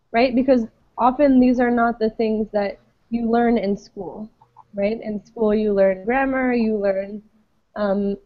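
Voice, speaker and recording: A woman teaches English to an online class, patient and friendly, filling her pauses with ums. Her voice sits a little high. The sound is dry and dull, like a web call. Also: dry recording.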